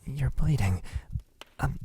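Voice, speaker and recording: A man playing a possessive, lovesick vampire captor in an ASMR roleplay, speaking intimately in a husky voice that often drops into a whisper. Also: mid-range voice, lively intonation, dark tone, exaggerated voice. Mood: apologetic, soothing. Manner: slow, lingering, halting delivery.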